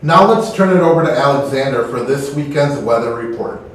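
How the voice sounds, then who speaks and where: A man's voice: mid-range voice, animated, fairly quick, slight background noise. A man from Texas co-hosting a school morning broadcast, reading in a friendly, plain way. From Texas, United States. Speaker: teacher co-hosting school announcements. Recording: echoey room, distant mic.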